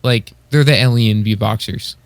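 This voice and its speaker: A man's voice: on the low side, moderate pace, clear, resonant tone, no room sound. A man co-hosting a podcast, chatting casually as a hobbyist with a somewhat tentative manner. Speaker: podcast co-host. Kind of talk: casual chat, hobbyist commentary.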